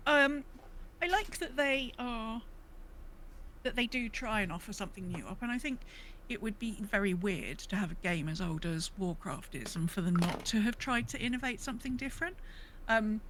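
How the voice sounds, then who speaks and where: A woman's voice: mid-range voice, a bit bright. Female podcast co-host, mid-range voice, informal and meandering, slight noisy ambience behind. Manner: rambling, thinking aloud. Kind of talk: casual gaming podcast chat.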